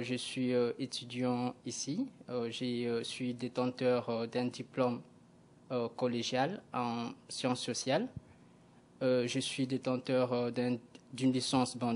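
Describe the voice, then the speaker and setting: A young man answers questions as a TV studio guest. His delivery stays flat and even, and he pauses often with filler sounds while searching for words. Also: medium pitch, a little hiss or hum. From Kinshasa, DR Congo. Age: young adult. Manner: hesitant, many filler sounds. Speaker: guest, graduate student. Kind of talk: interview answers.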